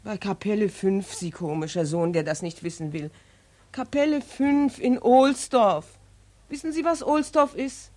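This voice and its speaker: A woman's voice: mid-range voice, unhurried, darkish tone, lightly acted. An actress playing a callous female tenant, curt, mocking and matter-of-fact, in 1940s radio drama style.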